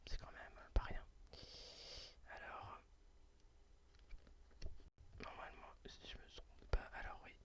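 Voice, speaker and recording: A whispered ASMR host reading poetry and chatting about books in a halting, informal way, sex unclear. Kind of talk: whispered ASMR poem reading and book chat.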